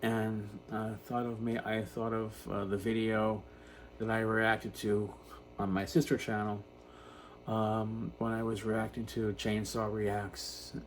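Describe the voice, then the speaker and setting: Low-voiced man in his fifties, noisy recording. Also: completely flat delivery. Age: 50s. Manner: halting, self-reflective, repeats words. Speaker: video creator talking about personal issues. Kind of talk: unscripted personal monologue to camera. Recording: noticeable background noise.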